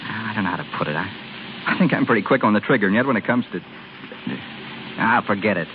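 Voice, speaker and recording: Brash yet bashful young American man played by an actor, very lively pitch, rapid slangy delivery, old radio play on a noisy recording. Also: lo-fi sound, lightly acted. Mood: bashful, downcast. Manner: breezy, slangy, hesitant about feelings. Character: Rims, brash but bashful young office go-getter. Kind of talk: 1930s-40s radio drama acting.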